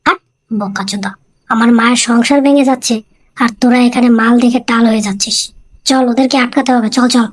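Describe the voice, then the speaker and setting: A woman's voice: on the high side, fairly even intonation, fairly quick, close to an everyday voice. A woman voicing a brash, foul-mouthed son in a comic cartoon, blunt and scornful. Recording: music behind the voice.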